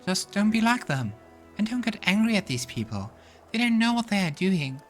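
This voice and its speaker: A man voicing a frail young elf girl who preaches kindness in a gentle, delicate, earnest way for a fantasy game. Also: high-pitched, very animated, normal speed, pitched above the performer's own voice. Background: game music.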